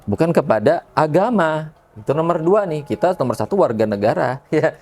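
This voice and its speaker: A man's voice: a little high, expressive, moderate pace, darkish tone. A man speaking as a religious podcast guest, explaining things conversationally and often checking in with tag questions. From Indonesia.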